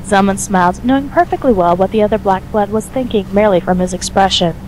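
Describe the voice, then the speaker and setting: A woman's voice: medium pitch, fast talker, darkish tone, a little hiss or hum, rough recording. A woman reading scripted story narration as the narrator of an audio drama.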